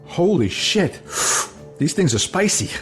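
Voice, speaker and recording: A man's voice: fairly high pitch, very animated, unhurried. An actor playing a wry, down-on-his-luck sailor in an audio drama, with an American accent and a casual, slightly hesitant way of talking. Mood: wary, startled. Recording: music under the dialogue.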